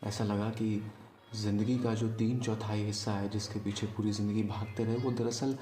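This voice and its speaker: A man performing a poetic love monologue as a storyteller, in a wistful, deliberate manner with pauses. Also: lowish voice, flat delivery, dark tone, reverberant, a little hiss or hum. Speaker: spoken-word storyteller. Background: music.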